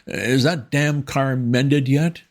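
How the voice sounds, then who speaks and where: A man doing a flustered young toff, high and stammering. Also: fairly even intonation, slow pace. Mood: irritable. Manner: dithering, stammering with ums. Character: flustered young upper-class English gentleman.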